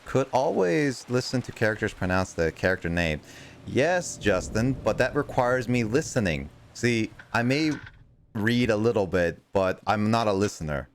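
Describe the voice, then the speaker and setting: A young man streams a game from his desk mic and chats loosely with his viewers. His voice sits low but swings widely in pitch as he rambles, stumbles over names and pokes fun at himself. A faint noise floor hums under the recording. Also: expressive, normal speed. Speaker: streamer playing a video game. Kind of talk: casual livestream chat with viewers.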